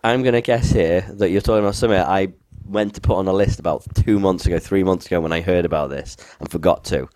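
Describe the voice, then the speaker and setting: Young man, podcast co-host, deepish croaky voice, dry close sound, informal chat. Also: fast, hardly any pauses, darkish tone, no echo. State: croaky voice from being unwell. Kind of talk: casual chat.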